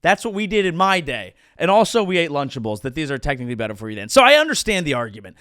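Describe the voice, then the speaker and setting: A man's voice: on the high side, sing-song, quick pace, a bit bright, dry recording, studio quality. A man in his thirties hosting a comedy show, delivering a sarcastic monologue with mock-outraged rants. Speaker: comedian host.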